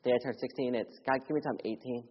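Earnest preacher in a reverberant church. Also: male voice, rapid-fire, slightly rough. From Philadelphia. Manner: earnest, swings between loud urgency and quiet hushed lows. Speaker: missionary preacher. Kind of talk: sermon with personal testimony. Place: church sanctuary. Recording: dull audio with long church reverb.

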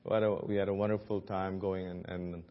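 A very low-voiced man with a Filipino accent preaches in a church, earnest and full of "uh"s and restarts. His voice carries a long hall reverb, and the recording sounds dull and muffled. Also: level tone, brisk. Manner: earnest, with many fillers and restarts. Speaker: guest preacher. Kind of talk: sermon. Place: church sanctuary.

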